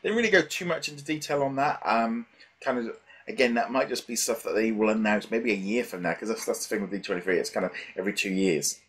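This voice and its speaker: A man's voice: fairly low pitch, fast talker, a bit bright, breathy edge. A middle-aged man chatting casually as a podcast host, hesitant and rambling as he thinks aloud. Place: small home room with shelves, indoors. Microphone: headset.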